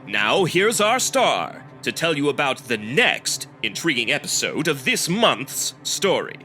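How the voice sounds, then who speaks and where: Animated vintage radio announcer. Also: male voice, on the high side, measured pace, soft background music, heavily acted. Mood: grand, promotional. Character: polished, dramatic 1950s male radio show announcer.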